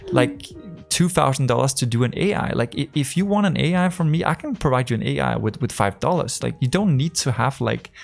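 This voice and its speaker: A man's voice: mid-range voice, gravelly. A man from Denmark with a strong Danish accent, talking casually to camera as a trading coach, rambling with many fillers and restarts. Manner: rambling, full of fillers and restarts, acts out conversations.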